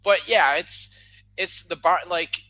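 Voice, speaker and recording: A man's voice: high-pitched, slow, no room sound, low-quality recording. A man in his thirties on a video-call podcast panel, talking casually with many restarts and repeated words. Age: 30s. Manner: rambling with many restarts, animated. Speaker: podcast guest.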